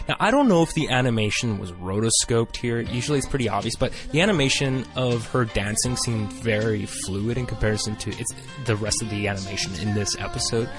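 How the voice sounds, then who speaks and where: A man's voice: on the low side, brisk, hardly any pauses, over music. A man co-hosting a casual podcast who recaps a cartoon episode in a chatty, hesitant way, often correcting himself. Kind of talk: casual chat mixed with read recap.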